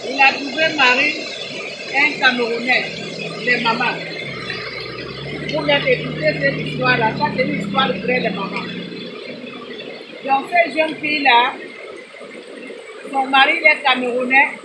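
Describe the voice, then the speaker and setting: Brief: non-native French-speaking woman, high voice, repetitive preachy lecture to moms, noisy background. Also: fairly even intonation, measured pace, long pauses. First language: not French. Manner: prayerful, scolding, repetitive, addresses listeners as mothers. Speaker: advice vlogger. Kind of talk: informal preaching talk to mothers.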